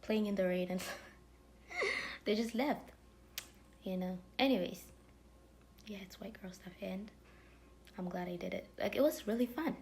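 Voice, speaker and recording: A woman's voice: mid-range voice, lively intonation, unhurried, long pauses, a little mellow, a little hiss or hum. A woman vlogger chatting to camera in a rambling, casual way, full of fillers and dropping now and then into whispery asides. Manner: drifting, many fillers, drops into whispery asides.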